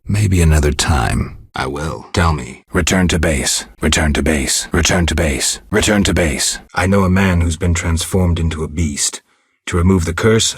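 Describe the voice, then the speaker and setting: A gruff, stoic monster hunter for a video game. The voice sits extremely deep and is heavily rasped and husky. Lines come out dry, blunt and deadpan, in a close, dry recording. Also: male voice, no echo, full character voice. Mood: muted, dry. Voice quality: low, gravelly, husky. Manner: deadpan, terse, restrained. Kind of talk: video game dialogue acting.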